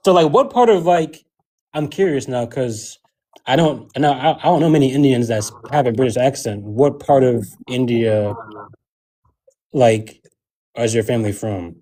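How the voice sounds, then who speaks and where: A man's voice: medium pitch, moderate pace, mellow, poor audio. A man from Connecticut, a guest chatting casually on a live stream. He asks curious, rambling questions, full of hesitant restarts. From Connecticut, USA. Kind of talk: casual chat.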